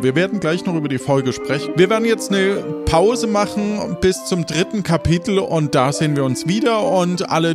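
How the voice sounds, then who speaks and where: A man hosting and narrating an improvised audio drama podcast in a casual way. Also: fairly high pitch.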